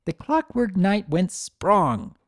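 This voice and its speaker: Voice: medium pitch, sing-song, slow, no room sound, clean studio sound, voice pushed up. A performer voicing a bouncy, earnest little pony girl with an eager, childlike delivery, in a dramatic fan fiction reading. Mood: excited. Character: Sunny, bouncy excitable little filly girl, earnest and playful.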